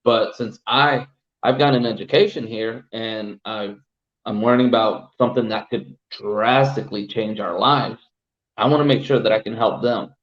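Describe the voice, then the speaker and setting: Lower-voiced young man from El Salvador. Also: fairly even intonation, normal speed, roomy sound, lo-fi sound. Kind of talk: casual podcast chat.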